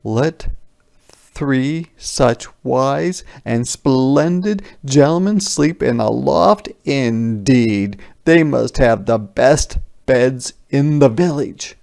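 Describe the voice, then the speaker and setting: A man's voice: medium pitch, expressive, very slow, gravelly, darkish tone, exaggerated voice. A man voicing eager, good-hearted village peasants from a children's storybook in a simple, earnest way. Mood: earnest, grateful.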